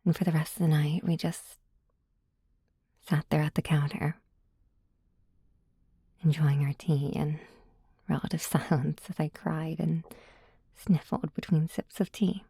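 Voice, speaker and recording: Woman, low pitch, soft breathy narration, ASMR storytelling, intimate, dry sound. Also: steady tone, measured pace, pauses often, dry recording. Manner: hushed, intimate. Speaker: audio drama writer and performer.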